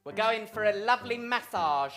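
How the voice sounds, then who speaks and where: Haughty wicked pantomime stepsister. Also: female voice, a little high, expressive, normal speed, fairly bright tone. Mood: scornful. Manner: haughty, mocking, theatrical. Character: vain, bullying wicked stepsister, a panto villainess. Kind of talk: improvised pantomime acting.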